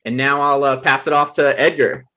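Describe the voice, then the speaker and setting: A man's voice: medium pitch, steady tone, moderate pace, sharp tone. A man hosting a table read, informally introducing the cast.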